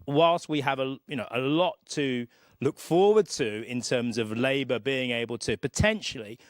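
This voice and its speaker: A middle-aged man, a guest politician, making his points in a measured, emphatic way. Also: mid-range voice, no room sound, clean studio sound. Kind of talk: conversational political discussion.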